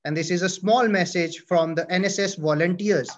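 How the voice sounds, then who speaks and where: A man's voice: high-pitched, moderate pace. Man presenting slides, muffled audio. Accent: Indian English. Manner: reads slide points with fillers and self-corrections. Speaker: university presenter. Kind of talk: presenting slides in an online orientation. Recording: dull lo-fi audio.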